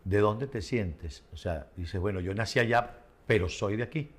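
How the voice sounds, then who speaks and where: A man from Venezuela with a Venezuelan Spanish accent, hosting an interview and asking measured, probing questions. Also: fairly low pitch, husky. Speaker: interview host. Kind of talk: conversational interview.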